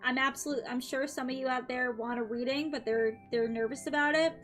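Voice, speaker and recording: A woman's voice: sits high, fairly even intonation, a little fast, fairly bright tone, faint music behind. A young woman streaming, chatting casually and upbeat with her viewers between tarot readings, her throat a little dry. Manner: chatty and upbeat, frequent "um" and light laughs. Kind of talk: casual live-stream chat.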